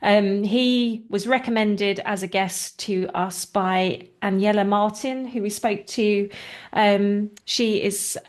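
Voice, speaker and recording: A woman's voice: mid-range voice, steady tone, slow. A woman co-hosting a podcast, chatting casually, laughing easily, and teasing her co-host in a friendly way. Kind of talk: casual banter.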